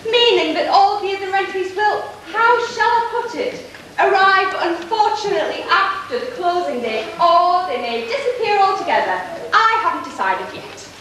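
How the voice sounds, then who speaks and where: A woman playing a scheming, smooth-talking nobleman in an amateur stage pantomime, recorded from afar in a hall. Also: extremely high pitch, expressive, normal speed, a bit bright. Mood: calmly scheming. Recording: echoey hall, distant mic, faint noise.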